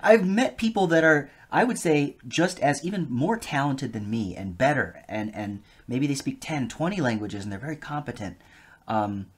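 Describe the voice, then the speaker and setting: An animated man talks in a relaxed interview, and his pitch rises and falls a lot as he gets excited. He laughs easily and speaks with eager enthusiasm. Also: moderate pace, a little hiss or hum. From United States. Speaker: guest, polyglot. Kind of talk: casual interview conversation.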